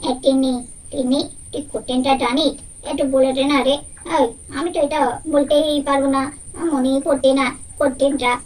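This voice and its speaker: Someone voicing a very high-pitched eager child doll. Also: little pitch movement, moderate pace, lightly acted, rough recording. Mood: unsure, whispering aside. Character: eager young schoolchild dolls answering quiz questions. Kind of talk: puppet doll story voice acting.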